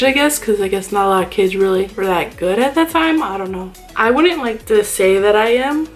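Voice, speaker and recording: A young woman YouTuber chatting casually in a vlog, rambling and thinking aloud about herself. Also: mid-range voice. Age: young adult. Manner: rambling and self-reflective.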